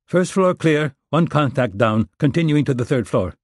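A man's voice: a little high, animated, moderate pace, no room sound, clean studio sound, voice pushed up. A man voicing a male soldier giving clipped status reports over an earpiece. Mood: terse.

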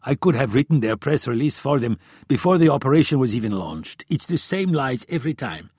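A man's voice: mid-range voice, normal speed, a little mellow, dry recording, studio quality, close to an everyday voice. A man voicing a blunt, combative Israeli intelligence chief in an audiobook, exasperated and emphatic in manner. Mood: scoffing.

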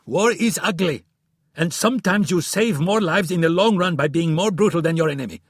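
A man voicing a cold, supremely confident male spy chief who speaks bluntly and forcefully. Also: high voice, a little fast, husky, no echo, studio quality, pitched above the performer's own voice. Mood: defiant.

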